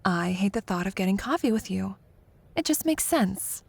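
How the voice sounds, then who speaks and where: A young woman playing a competitive college student in an intimate audio roleplay, a teasing, prickly but secretly fond rival who talks haltingly. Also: medium pitch, expressive, vocal fry, slightly rough. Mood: coy, challenging. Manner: teasing, halting, flustered deflection.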